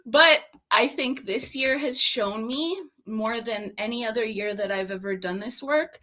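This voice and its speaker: Female panelist, mid-pitched, informal and reflective, halting personal testimony. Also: bright, forceful tone. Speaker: panelist and drug-user advocate.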